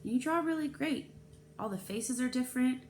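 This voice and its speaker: A woman's voice: sits high, normal speed, darkish tone, pitched above the performer's own voice, natural voice. A woman voicing an earnest young schoolgirl in a children's novel read-aloud. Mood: earnest, encouraging. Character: nervous young girl narrator talking with a friend. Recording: clear background noise.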